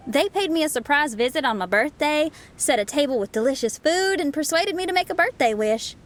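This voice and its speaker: Frank young rocker girl, high-ish voice, laid back, game music faint behind. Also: expressive, brisk. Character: bold young female rock musician, frank and warm. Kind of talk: game voice acting.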